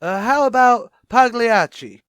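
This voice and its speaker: A man doing a mumbling deadpan bar waiter. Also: extremely high pitch, a little slow, smooth, no echo, full character voice. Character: deadpan male tavern waiter, dry heckler.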